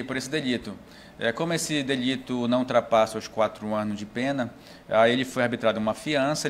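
A man in his thirties with a northern Brazilian accent, a police chief, gives a formal statement in an office into a handheld mic. He speaks at an average pitch, pausing and restarting often. A faint hum of room noise sits behind him. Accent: Brazilian Portuguese, northern Pará. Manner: measured, formal, frequent hesitations. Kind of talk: interview statement. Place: indoor office. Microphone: handheld mic held close.

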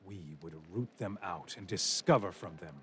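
A man giving grave, measured scripted narration for a fantasy game. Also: deep voice, slight background noise.

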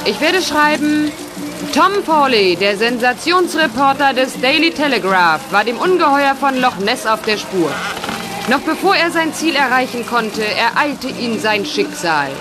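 A woman's voice: sits high, animated, measured pace, over music. An actress playing a teasing, cheeky young woman in a German radio play. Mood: mock solemn. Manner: teasing, playful, pert. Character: teasing, cheeky young female companion of a reporter.

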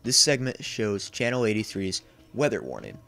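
A man's voice: lowish voice, huge pitch swings, moderate pace, creaky, fairly bright tone. A man in his twenties giving a scripted narration as a video essayist. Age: 20s. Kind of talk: scripted narration, horror series recap. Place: small indoor room.

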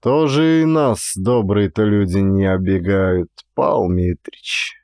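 A man voicing a sedate, dignified and mighty peasant in an audiobook, with a drawn-out, staid delivery. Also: on the low side, a little mellow, no echo, studio quality, pitched below the performer's own voice. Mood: unhurried, staid.

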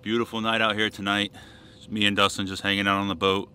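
Deep-voiced young man on a boat. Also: little pitch movement, quick pace, pushed and bright, slight background noise. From Florida. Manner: upbeat, talks straight to camera. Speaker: fishing vlogger. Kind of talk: casual outdoor vlog. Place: small boat on open water outdoors. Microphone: chest-mounted action camera.

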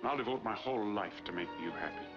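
An actor as a middle-aged man pleading for love in a 1940s melodrama. He is earnest and insistent, a bit low in pitch. Strong music plays behind the dull old soundtrack. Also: rapid-fire, natural voice. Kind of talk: 1940s film acting.

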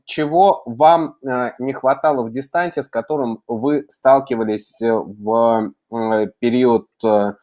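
A middle-aged man hosting an unscripted online interview, phrasing deliberately with long, drawn-out hesitations. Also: mid-range voice, darkish tone. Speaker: host. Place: indoor room, on a video call.

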